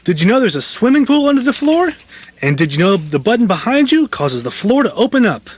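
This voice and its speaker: A man's voice: sits high, very animated, brisk, husky, exaggerated voice. A man playing a pesky, taunting young punk with a mocking, needling manner in a comedic radio play.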